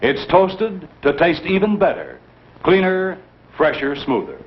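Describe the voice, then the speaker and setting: A man's voice: a little high, sing-song, measured pace. A man reading a 1950s commercial as an announcer, on a muffled old broadcast. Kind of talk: 1950s advertising read.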